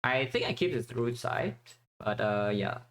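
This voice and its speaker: A man's voice: medium pitch, lively intonation, measured pace, a bit bright. A man from Vietnam giving casual commentary while playing a strategy game, thinking aloud with many fillers and restarts.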